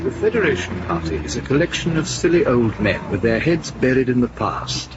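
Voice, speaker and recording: Man playing a smug, sardonic spymaster, very animated pitch. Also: a little fast, lo-fi sound, heavy background noise, natural voice. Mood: dry disdain. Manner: urbane, drily condescending. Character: smug, sardonic, evasive secret service officer. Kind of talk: radio drama acting.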